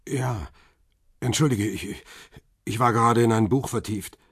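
An actor playing a lonely, melancholy middle-aged photographer who narrates a radio drama in a measured, reflective way. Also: male voice, medium pitch, fairly even intonation, slightly rough, no room sound, studio quality. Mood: awkward, tentative. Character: world-weary male photographer, lonely widower, melancholy. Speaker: first-person narrator and lead.

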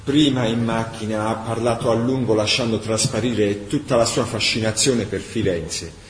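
A man giving an impassioned, deliberate dramatic narration of a historical chronicle. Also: on the low side. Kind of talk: dramatic scripted documentary narration for radio theatre. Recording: echoey room, faint background noise.

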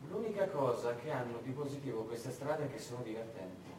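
A man's voice: expressive, a little fast, darkish tone, close to an everyday voice. A man playing an arrogant, cruel German major in a theatre monologue, with a commanding, sardonic way of talking. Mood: gleefully cruel. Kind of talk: live storytelling theatre monologue. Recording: echoey, roomy, distant, faint rumbling noise.

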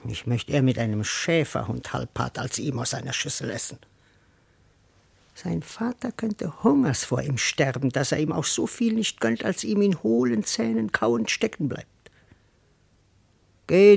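An actor playing a grumbling, cowardly, hungry manservant in a classical verse radio drama, with theatrical delivery. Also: male voice, fairly high pitch, lively intonation, a little slow, creaky, breathy edge, exaggerated voice. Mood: bitter grumbling. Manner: theatrical, muttering then exclaiming.

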